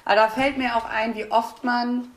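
A woman's voice: a little high, level tone, moderate pace. A woman from Frisia, a guest in a casual conversation who chats freely and agrees often. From East Frisia, Germany. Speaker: guest, photographer. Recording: roomy echo.

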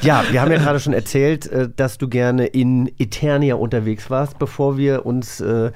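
A man hosts a podcast in a casual, colloquial tone with a medium-pitched voice. He chats and tells stories freely, as if talking with friends. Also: steady tone, moderate pace, a little mellow. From Germany, grew up in a village. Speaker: podcast host. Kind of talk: casual chatty interview.

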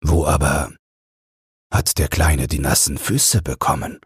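Gentle old storybook grandfather type, acted by a man: low, very raspy, musing, unhurried. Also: level tone, dry recording, clean studio sound, heavily acted. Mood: kindly, musing. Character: friendly old man, gentle teller of fairy tales.

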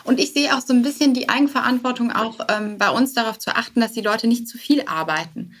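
A woman's voice: a little high, fast, a bit bright. A woman speaking as a podcast interview guest and start-up founder, thinking aloud and often correcting herself mid-sentence.

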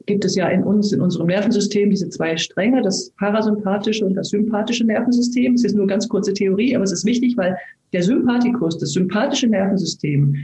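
Mellow-voiced German woman, roomy sound. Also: medium pitch, a little hiss or hum. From eastern Germany. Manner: slow, earnest explaining with frequent restarts. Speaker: actress and talk host. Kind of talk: reflective conversation. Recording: roomy echo.

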